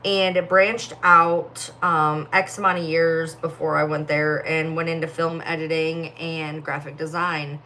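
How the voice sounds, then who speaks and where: A woman's voice: deep voice, little pitch movement, unhurried, hardly any pauses, sharp tone, a little hiss or hum. A young woman chatting casually on a phone livestream, sleepy and yawning, with a distinctive voice and lots of filler words. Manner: rambling, heavy filler words. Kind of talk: casual live-stream chat reading comments.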